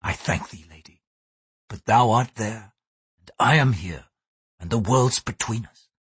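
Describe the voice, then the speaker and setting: A man reading aloud as a blunt English sea pilot, speaking in a measured, archaic thee-and-thou manner. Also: lowish voice, slightly rough, no echo. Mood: probing.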